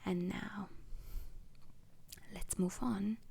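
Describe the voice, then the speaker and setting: A woman playing a calm, formal instructor at a space agency in an ASMR roleplay, whispering slowly and haltingly with a breathy, husky voice. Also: medium pitch, exaggerated voice. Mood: calm, instructive. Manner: slow, deliberate, halting, formal.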